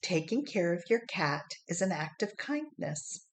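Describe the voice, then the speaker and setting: A woman voicing a kind, patient female primary school teacher in a children's storybook, explaining gently and encouragingly. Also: lowish voice, very animated, unhurried.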